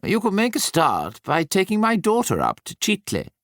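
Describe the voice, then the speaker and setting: A man's voice: sits high, wildly swooping pitch, moderate pace, fairly bright tone, no room sound, clean studio sound, pitched above the performer's own voice, heavily acted. A man voicing an older upper-class Englishman in an audiobook, clipped, condescending and socially pushy. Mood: brisk, needling. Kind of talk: audiobook character dialogue.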